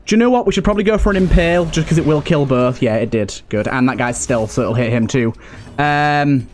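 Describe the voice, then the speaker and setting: A man giving casual let's play commentary, thinking aloud and repeating phrases for emphasis. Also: mid-range voice. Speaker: gaming video host.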